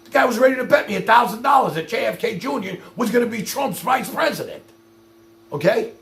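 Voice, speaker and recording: Exasperated, gravelly, high-pitched man ranting. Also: animated, brisk, fairly bright tone. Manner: profane, exasperated, confrontational; addresses viewers directly with repeated rhetorical questions. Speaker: livestream host. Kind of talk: ranting livestream monologue to viewers.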